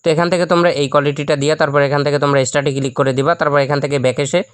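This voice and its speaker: A man races through a how-to voice-over at a very fast pace. His delivery stays level with little melody, and his voice is clean and smooth. He speaks straight to viewers, guiding them step by step. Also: a little high, fairly even intonation, dry recording. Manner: addresses viewers directly, step by step. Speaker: YouTube tutorial host. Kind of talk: instructional screen-recording voice-over.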